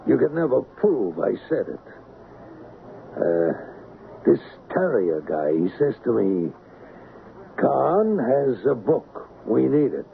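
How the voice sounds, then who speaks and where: An actor playing a wary, bargaining small-time criminal with a gravelly voice and hesitant, slangy talk in an old radio drama. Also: male voice, medium pitch, big pitch swings, slow pace, pauses often, exaggerated voice. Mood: cagey, bargaining. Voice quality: gravelly, creaky. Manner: hesitant, hedging, streetwise slang. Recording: tinny, band-limited, noisy old radio recording.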